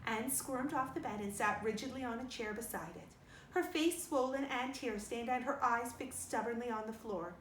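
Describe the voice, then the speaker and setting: A woman's voice: a little high, moderate pace. A woman narrating a storybook expressively, as a library storyteller reading aloud. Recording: roomy sound with faint noise.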